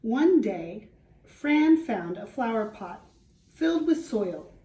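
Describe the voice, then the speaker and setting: Slow-paced woman teacher reading a storybook. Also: on the high side, big pitch swings, dark tone. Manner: simple explanatory teaching, pausing to ask and answer questions. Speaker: schoolteacher reading to class. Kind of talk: children's storybook read-aloud with questions. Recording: roomy echo, distant microphone.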